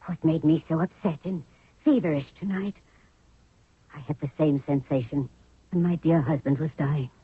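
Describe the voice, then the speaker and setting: An actress playing a motherly, knowing old queen in a 1970s radio drama, weary and deliberate. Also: sits low, husky, dry recording. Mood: weary, brooding. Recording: narrow, tinny 1970s radio recording.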